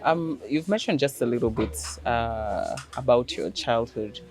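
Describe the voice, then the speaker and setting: A woman hosts a relaxed interview, her voice strikingly low. She asks her questions and keeps up a steady stream of supportive "mm-hmm"s. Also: measured pace. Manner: warm back-channeling, supportive. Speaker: podcast host.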